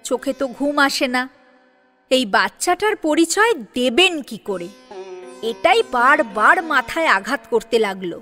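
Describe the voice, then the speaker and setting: A woman with a distinctly high voice narrates a serial audio story. Her delivery is that of dramatic storytelling, and soft sitar music plays behind her. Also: sing-song, a little slow. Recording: music under the voice. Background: sitar instrumental music.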